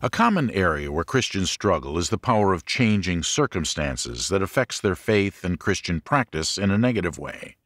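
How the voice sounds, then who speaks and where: A man narrating a religious self-help audiobook in a measured, deliberate way with clear phrasing. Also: low voice, big pitch swings, a bit bright, no room sound, clean studio sound.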